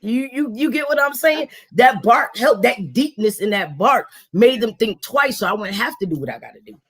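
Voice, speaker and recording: A woman with a raspy, deep voice hosting a casual live stream, animated and slangy, acting out quotes. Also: normal speed. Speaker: host.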